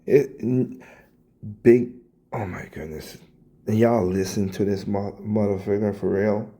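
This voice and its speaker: A man's voice: lowish voice, steady tone, measured pace, mellow. Scratchy-voiced man ranting. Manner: blunt, swears, stumbles and repeats words. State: hoarse, coughing. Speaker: commentator reacting to clips. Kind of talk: casual rant.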